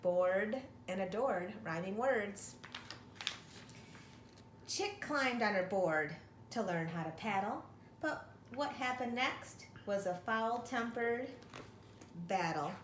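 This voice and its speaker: A middle-aged woman reads a children's picture book aloud in an expressive teacher's manner. Her pace is unhurried and her pitch sits in an average range. The room adds noticeable echo to the home recording. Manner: expressive, addressing children with questions. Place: living room at home, indoors. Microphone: camera or phone a short distance away. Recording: roomy home recording with faint noise.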